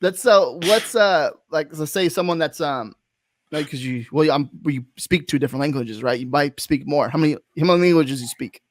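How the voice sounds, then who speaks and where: A man's voice: a little high, moderate pace, no room sound. A man hosting a casual video-call interview, rambling with frequent restarts and fillers. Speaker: host.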